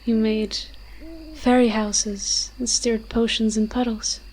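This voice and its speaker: A woman's voice: a little high, little pitch movement, slow, darkish tone, rough recording. A woman voicing an ancient, moss-covered forest demon in an audio roleplay, tender and protective, speaking in solemn, poetic, archaic storytelling phrases. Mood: fond, nostalgic.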